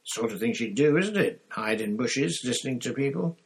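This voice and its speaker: A man's voice: mid-range voice, lively intonation, moderate pace, pitched above the performer's own voice, natural voice. A man voicing an angry, indignant teenage schoolboy hero with a snapping, emphatic delivery.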